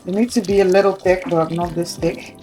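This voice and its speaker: A woman's voice: fairly low pitch, steady tone, brisk. A Dutch woman with a Dutch accent, whose first language is Dutch, chatting casually to her viewers while cooking in her home kitchen. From Netherlands. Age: adult with children. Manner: chatty, addresses viewers directly, mixes in Dutch words. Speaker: cooking vlogger. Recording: echoey kitchen with background music.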